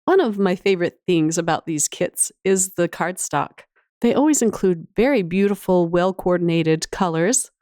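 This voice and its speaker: A woman's voice: fairly low pitch, lively intonation, moderate pace, dark tone, no echo, clean studio sound. Woman with a slight cold, chatty crafter. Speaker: craft channel host. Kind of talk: casual craft tutorial voice-over.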